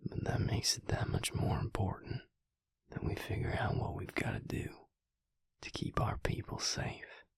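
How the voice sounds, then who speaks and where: A deep, very husky male vampire played by a man murmurs slowly and closely in an intimate audio roleplay. He is protective and resolute yet gentle, with a soothing, folksy drawl that stays hushed and breathy. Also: wildly swooping pitch, dry recording, whispered, studio quality, exaggerated voice. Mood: tender, soothing.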